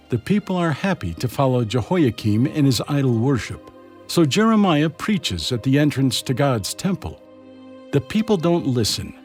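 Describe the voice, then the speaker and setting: Male story narrator, mid-pitched and expressive, dramatic scripted delivery for children over faint music.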